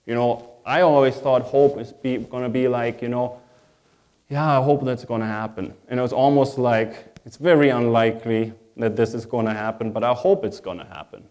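A man's voice: mid-range voice, steady tone, normal speed, a little mellow. A man with a German accent, a native German speaker, preaching a sermon as a pastor in a reflective, conversational way, repeating phrases for emphasis.

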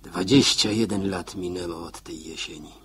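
An actor playing a wistful middle-aged village father in a 1960s radio drama, musing haltingly about his memories. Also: deep voice, fairly bright tone, breathy edge, heavily acted. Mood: wistful, brooding. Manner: halting, reflective, musing to himself.

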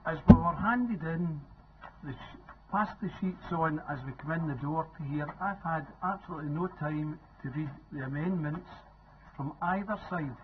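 Man, insistent councillor, higher voice, phone-thin sound. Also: moderate pace. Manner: firm, insistent. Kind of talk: objecting in a council debate. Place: council chamber, indoors. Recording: tinny phone-like sound, faint noise.

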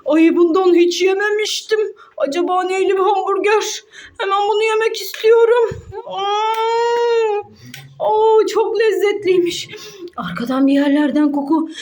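Woman putting on a very high-pitched greedy toy glutton. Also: darkish tone. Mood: greedy delight. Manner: exclaiming, gasping, melodramatic, talks to itself. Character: gluttonous excitable toy character sniffing out hamburgers, scheming cook. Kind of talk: children's toy pretend-play skit.

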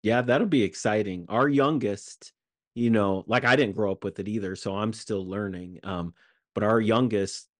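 A man co-hosting a casual chat over a video call, relaxed and conversational. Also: on the low side, moderate pace, no echo. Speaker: podcast co-host. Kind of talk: casual interview talk.

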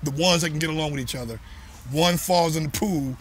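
A man's voice: a little high, fairly quick, a little hiss or hum. A man hosting a casual chat, prompting the others and addressing listeners directly. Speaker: host.

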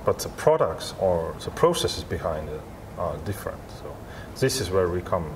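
A low-voiced man answers interview questions as a company representative. His English is non-native, halting and repetitive. Clear ambient noise of a large indoor venue sits behind him. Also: very animated. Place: large glass-walled expo hall, indoors.

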